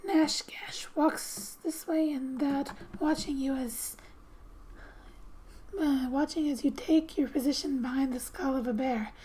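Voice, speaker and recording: A soft-spoken woman reading game text aloud in a gentle, hushed ASMR style with musing asides, often slipping into a whisper. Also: high voice, fairly even intonation, unhurried, slight background noise, poor audio. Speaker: gaming ASMR host.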